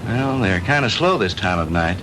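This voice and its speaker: An actor playing a helpful, persistent newspaper reporter in a 1960s B-movie. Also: male voice, low-pitched, animated, normal speed. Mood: earnest, persuasive. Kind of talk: 1960s B-movie dialogue acting. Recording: noisy 1960s film soundtrack.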